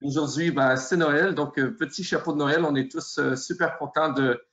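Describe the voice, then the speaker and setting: A man's voice: fairly high pitch, fairly even intonation, normal speed, a bit bright. Canadian French man, hesitant, over a call. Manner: hesitant, laughing, many fillers. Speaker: presenter. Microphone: video call. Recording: dull lo-fi call audio.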